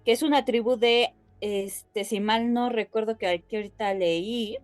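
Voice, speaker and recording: A woman's voice: on the high side, moderate pace, dry recording, soft background music. A woman co-hosting a podcast, explaining things casually and addressing listeners directly. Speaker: podcast co-host.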